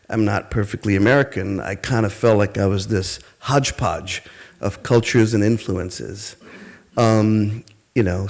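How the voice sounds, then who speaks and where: Middle-aged guy, lowish voice, telling stories about growing up, thoughtful. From India. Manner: reflective, storytelling, with fillers and soft laughs. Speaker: guest speaker. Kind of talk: personal reminiscence talk.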